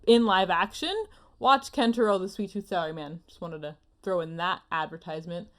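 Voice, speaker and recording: A woman's voice: on the low side, fairly quick. Effusive woman chatting casually. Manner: effusive, emphatic, rambling. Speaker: podcast co-host. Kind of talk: casual opinionated chat.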